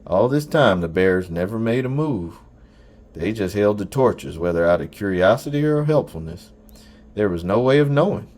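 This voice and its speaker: Man, low-pitched voice, audiobook narrator, scripted first-person storytelling. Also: lively intonation, normal speed, a little mellow.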